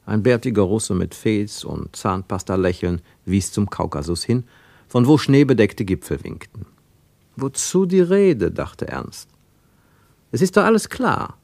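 A man narrating a radio play travel tale in a measured, gently ironic way. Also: fairly low pitch, sing-song, vocal fry, no echo, heavily acted. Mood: wry, measured. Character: wry third-person storyteller of a travel tale.

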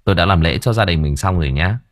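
A man voicing a fretful middle-aged spirit medium who speaks low and hesitantly, stammering. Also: brisk, darkish tone, husky, no echo, clean studio sound. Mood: solemn.

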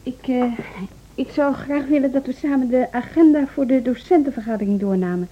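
A hesitant lady teacher in a radio play, high n husky, earnest, probing, dull old recording. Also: a little fast. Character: earnest, hesitant, questioning female music teacher. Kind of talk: Dutch radio drama acting.

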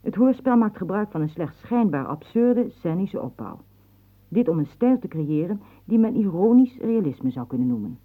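A woman announcer formally reading a scripted literary introduction to a radio play in measured phrases. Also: fairly low pitch, very animated. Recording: narrow, tinny band like old radio.

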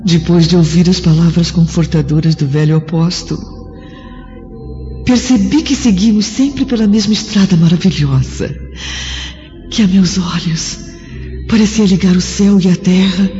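A woman's voice: on the low side, warm tone, natural voice. An actress with a Brazilian accent playing a devout female spirit full of awe at heaven, in a solemn radio drama. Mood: serene wonder. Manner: slow, rapt, declamatory. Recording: dull, reverberant radio drama over music.

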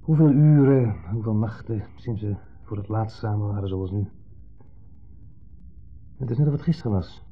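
A man's voice: fairly low pitch, moderate pace, long pauses, husky, faint music behind. A male actor playing a smug, needling ex-husband in a 1970s Dutch radio drama, theatrical and falsely jovial in an insinuating way. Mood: nostalgic, needling. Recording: muffled old 1970s radio recording.

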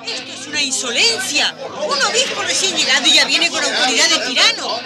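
High, haughty indignant colonial woman in a crowd. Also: lively intonation, brisk. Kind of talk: radio drama acting. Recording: loud crowd clamour around the voice. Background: loud crowd.

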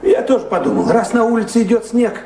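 High-voiced, eager manservant. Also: male voice, sing-song, fast talker, mellow. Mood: respectful, eager. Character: humble manservant of a lord. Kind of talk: theatrical audio drama acting. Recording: echoey, very noisy old radio play.

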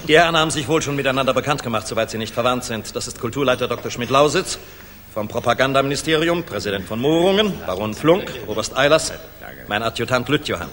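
An actor playing a dashing, irreverent air force general in a 1960s radio drama, ironic and quick to bark orders. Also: male voice, medium pitch, lively intonation, fairly bright tone, close to an everyday voice. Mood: mocking. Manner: ironic, wisecracking, barking orders. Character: dashing, sardonic Luftwaffe general, irreverent and commanding. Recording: reverberant, hissy 1960s mono radio play.

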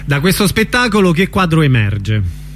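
A man with a somewhat high voice conducts a radio interview. His delivery is conversational and hesitant, with murmured acknowledgements. There is slight noise on the recording. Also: sing-song, moderate pace. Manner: hesitant questions, murmured backchannels.

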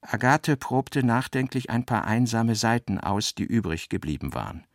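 Creaky-voiced man reading literary narration. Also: medium pitch, very animated, moderate pace, no echo, studio quality. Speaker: narrator, reader of literary text and notes. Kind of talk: scripted literary narration for a radio play.

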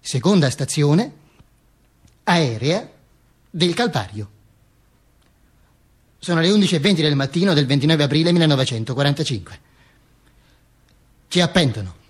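A man's voice: on the high side, lively intonation, normal speed, long pauses, slightly rough. A man performing a dramatic radio monologue in a declamatory way, with emphatic, repeated phrases. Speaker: stage actor performing a monologue.

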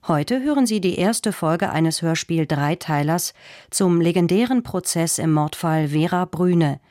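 A woman presents a radio programme from a script. Her voice is low, recorded close on a studio microphone with very little room sound. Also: darkish tone, no echo.

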